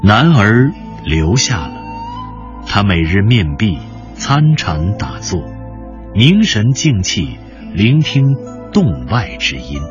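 A man narrating a scripted story with deliberate, dramatic phrasing, as in a radio drama. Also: lowish voice, sing-song, highs cut off. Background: music.

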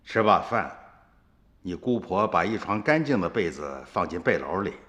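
Father-narrator: upright, lower-pitched, dramatic first-person storytelling with long reverb. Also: moderate pace. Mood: reflective. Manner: storytelling, expressive. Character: upright, watchful father recounting his memories.

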